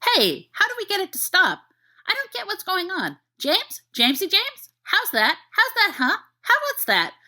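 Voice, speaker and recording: A woman voicing a young man whose mind has turned half dog, gushing and excitable. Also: extremely high pitch, normal speed, pitched above the performer's own voice, full character voice. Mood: giddy. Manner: breathless, gushing, yippy.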